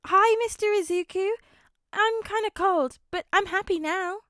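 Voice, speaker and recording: A woman voicing a sweet, excitable little girl, polite and eager, in a storybook reading. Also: extremely high pitch, unhurried, dry recording, studio quality, voice pushed up. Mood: happy, eager.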